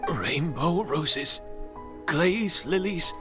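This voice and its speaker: An actor playing a bitter, unrepentant older master perfumer, the villain of a video game, with brooding, theatrical, halting delivery. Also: male voice, fairly high pitch, lively intonation, over music. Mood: stunned. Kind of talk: dramatic game voice acting.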